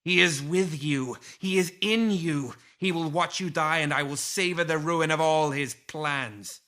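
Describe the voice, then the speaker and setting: A man voicing a vengeful female demon leader, seductive and menacing, with theatrical drawn-out threats in a storybook reading. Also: a little high, voice pushed up. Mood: vengeful rage. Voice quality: soft, seductive.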